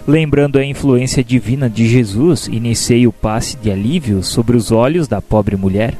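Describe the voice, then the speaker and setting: Man playing a soft-toned, solemn spirit narrator. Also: mid-range voice, normal speed, lightly acted. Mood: solemn, reflective. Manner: solemn, formal, gentle. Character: earnest, humble male spirit apprentice narrating his story. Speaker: narrator and protagonist. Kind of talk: dramatized first-person scripted narration. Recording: soft music behind narration.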